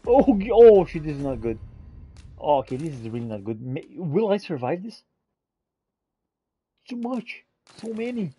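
A woman commentating on her own gameplay, with a pitch that swings widely. She talks at an unhurried pace and breaks into exclamations and pleas to the game. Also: very animated, warm tone, slightly rough. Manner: exclamatory, pleading, swearing at the game. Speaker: video game streamer playing a roleplay.

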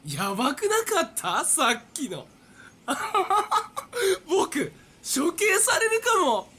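A man playing a smug young madman in a voice drama, suave, mocking and drawn-out in his delivery. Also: very high voice, big pitch swings, sharp tone, exaggerated voice. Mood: manic glee. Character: smug, theatrical young male madman relishing a deadly game. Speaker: voice actor. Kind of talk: anime-style voice drama acting.

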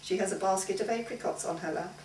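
A woman's voice: mid-range voice, fast, a little mellow. A middle-aged woman reading a short story aloud as scripted first-person narration in a living room. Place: indoors in a living room at a home gathering. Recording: roomy, distant mic, faint hiss.

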